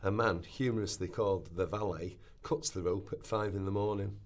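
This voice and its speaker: Male reader, lower register, delivering quoted historical prose for a radio documentary drama. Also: fairly even intonation, moderate pace. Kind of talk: scripted dramatic reading of literary passages.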